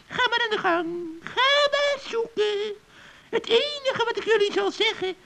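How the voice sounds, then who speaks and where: Nasty old witch lady, super shrill n croaky, fake sweet, cackly, kids radio play. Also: fairly bright tone, full character voice, low-quality recording. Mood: mocking, taunting. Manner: mock-friendly, taunting, drawn-out phrasing. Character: gloating, spiteful old witch in a forest tale. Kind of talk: children's radio play acting.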